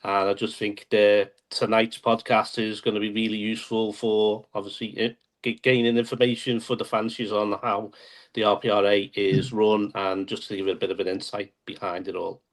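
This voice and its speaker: A man explaining things to a podcast in a measured way, often saying "obviously". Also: lowish voice, little pitch movement. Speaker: guest. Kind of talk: casual podcast chat.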